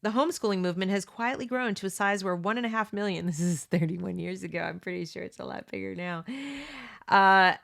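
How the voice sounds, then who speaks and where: A woman's voice: lowish voice, steady tone, a little fast, gravelly, fairly bright tone. A middle-aged woman, a homeschooling parent, reading a book aloud and breaking off into earnest, opinionated asides, often checking in with "okay?" and "you know.". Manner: reads passages then breaks off into emphatic asides. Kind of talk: read-along with conversational commentary.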